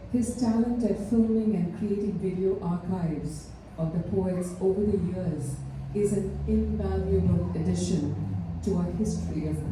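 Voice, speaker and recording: A woman from India giving an earnest, measured tribute speech, pausing often with fillers. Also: fairly low pitch. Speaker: ceremony speaker. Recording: echoey hall, mic far off, faint noise.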